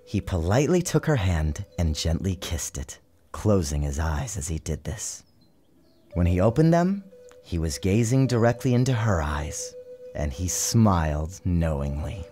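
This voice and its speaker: A man giving a measured, theatrical storybook narration in an expressive, dramatic style. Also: low voice. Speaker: author reading his book aloud. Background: music behind the narration.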